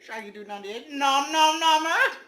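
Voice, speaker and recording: A man giving a mock-dramatic comedic reading, swinging from hushed whispers to shouts and breaking in with silly asides. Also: very high voice, expressive, normal speed. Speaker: YouTuber.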